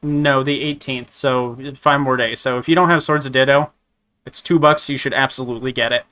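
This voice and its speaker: A man with a slightly raspy voice from a sore throat, chatting casually while reviewing games, rambling with many restarts and fillers. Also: mid-range voice, steady tone, fairly quick, pushed and bright. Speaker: gaming streamer. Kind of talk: casual game review chat.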